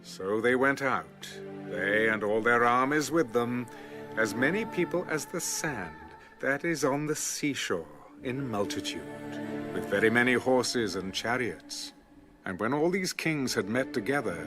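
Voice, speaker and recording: A man narrating scripture in a solemn, deliberate, scripted dramatic storytelling style. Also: mid-range voice. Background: music.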